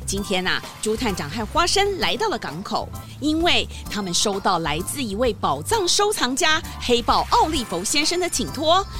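A woman narrating a scripted children's story in an expressive storytelling manner. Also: on the high side, moderate pace, few pauses, music in the background.

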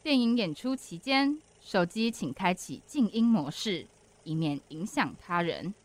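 A woman's voice: mid-range voice, expressive, measured pace. A woman reading a scripted, courteous cinema announcement in a formal manner.

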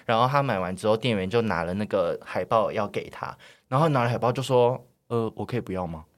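A man with a Taiwanese Mandarin accent co-hosting a casual movie podcast, telling anecdotes in an animated, bantering way. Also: on the low side, quick pace. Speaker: podcast co-host.

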